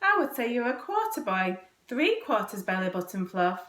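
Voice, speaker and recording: A woman puts on an exasperated storybook mother. She calls out and scolds in a raised, sing-song pitch, with noticeable room echo. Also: very animated, moderate pace. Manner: bright calling, scolding. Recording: roomy, echoing indoor recording.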